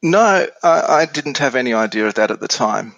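An Australian man in his forties explaining things casually as an interview guest on software. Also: medium pitch, animated, moderate pace, creaky, dry recording, highs cut off. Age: 40s.

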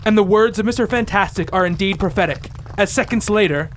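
A man's voice: sits high, brisk, slight background noise. A man narrating a comic-book radio play in a theatrical, bombastic style.

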